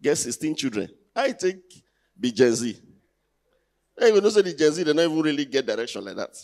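A man's voice: a little high, sing-song, a little fast, a little mellow. A man in his thirties with a Nigerian accent doing conversational, teasing stand-up comedy on stage, putting rhetorical questions to the crowd through a handheld mic. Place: large indoor theatre stage. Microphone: handheld stage microphone.

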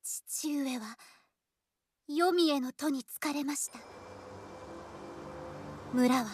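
A woman's voice: high voice, slow, darkish tone, a little hiss or hum. An actress playing a dignified, earnest young noblewoman of the samurai era in a game, speaking formally and solemnly. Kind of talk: dramatic game acting.

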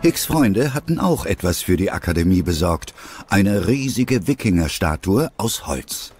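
A male storyteller narrates a children's fantasy audio drama. His voice sits on the low side and moves with lively melody. Music plays behind him. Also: unhurried, heavily acted. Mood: narrating.

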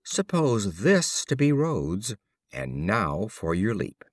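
Man reading as wry skeptical bystander, low voice. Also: sing-song, takes it slowly, dry recording, clean studio sound, exaggerated voice. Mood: challenging. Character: skeptical male bystander calmly challenging a boaster.

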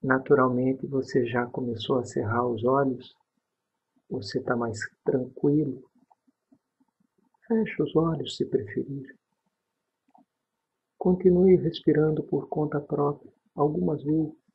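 A Brazilian man leads a relaxation exercise at a very slow, unhurried pace. His voice is husky and breathy, at a medium pitch, with calm gaps between short instructions. The recording is dull and a little muffled. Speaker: guided meditation narrator. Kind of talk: guided sleep meditation, soothing instruction.

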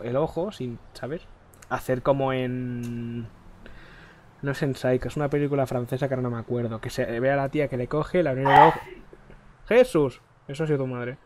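A man's voice: mid-range voice, moderate pace. A man with a Spain Spanish accent rambles and swears his way through a gameplay session. His throat keeps catching, and the headset mic sits close to his face. A faint hiss runs underneath. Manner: rambling, crude, excitable. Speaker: gaming streamer. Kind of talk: casual gameplay chat.

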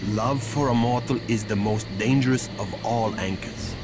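An actor playing a grave, stern medieval vampire warrior and mentor, speaking in solemn archaic phrases for a video game. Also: male voice, mid-range voice, normal speed, background noise, close to an everyday voice. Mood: solemn counsel. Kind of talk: theatrical video game acting.

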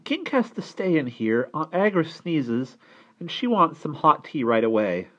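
A man's voice: on the high side, very animated, a little slow, fairly bright tone, dull audio, pitched above the performer's own voice, exaggerated voice. A man voicing a polite, meek young witch girl who is eager to help in a children's storybook. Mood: eager, helpful. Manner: polite, earnest. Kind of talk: children's storybook read-aloud.

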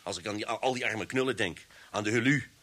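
An actor playing a keen, direct, plain-spoken police detective in an old radio drama. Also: male voice, lowish voice, fairly quick, fairly bright tone, natural voice. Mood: insistent. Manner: direct, inquisitive. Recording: old radio recording with faint hiss.

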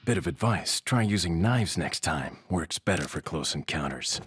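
An actor playing a tough, defiant, wisecracking young American agent in a video game. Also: male voice, low-pitched, expressive, normal speed, loud music behind the voice. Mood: cool, reassuring. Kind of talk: video game action hero acting.

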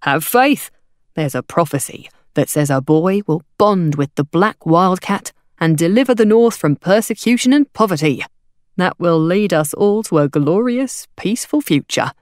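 A woman voicing a grinning male hawker for an audiobook, slick and taunting, with loud wheedling sales patter. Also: fairly low pitch, lively intonation, moderate pace, dry recording, studio quality, lightly acted. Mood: slick, insistent. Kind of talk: audiobook character voice.

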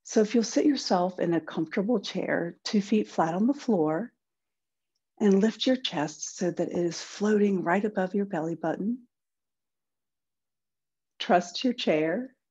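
A woman leading a guided body-scan meditation in a soft, breathy voice, gentle and soothing, with unhurried pauses. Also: mid-range voice, muffled recording. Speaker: guided relaxation instructor.

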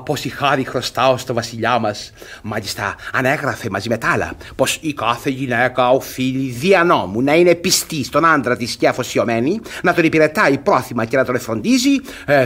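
An actor playing a smug, gullible, domineering guardian in a radio comedy, with a pompous, gloating manner. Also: male voice, mid-range voice, expressive, a bit bright, breathy edge. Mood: smug delight. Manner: pompous, self-satisfied, gloating. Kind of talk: theatrical radio comedy acting.

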